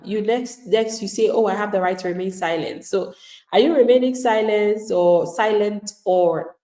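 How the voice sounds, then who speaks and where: A woman's voice: mid-range voice, a little mellow, roomy sound. A woman immigration attorney giving live legal advice to viewers in an emphatic, plain-spoken way, acting out the replies of people she describes.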